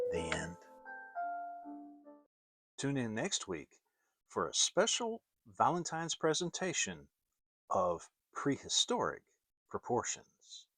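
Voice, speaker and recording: A man's voice: medium pitch, no echo. A man giving a dramatic narration of a children's story, as an audiobook reader.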